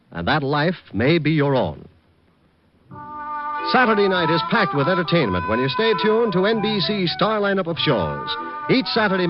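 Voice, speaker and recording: Old time radio announcer guy, emphatic, swoopy pitch, muffled old recording, bit of music. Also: no room sound. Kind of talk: 1940s radio announcing, scripted. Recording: dull vintage radio recording.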